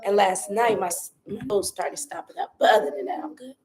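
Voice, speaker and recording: A young woman with a raspy, hoarse voice from a cold, chatting casually on a phone live stream outside by her patio and keeping her voice soft. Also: medium pitch, fairly quick, a crowd in the background. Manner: talks softly, holding back her voice. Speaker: vlogger doing a live stream. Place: outdoors by her patio at home.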